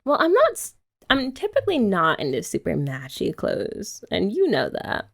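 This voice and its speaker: A woman's voice: mid-range voice, wildly swooping pitch, normal speed, creaky. A young woman doing girlfriend roleplay ASMR, chatty and teasing with rambling asides. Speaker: ASMR creator.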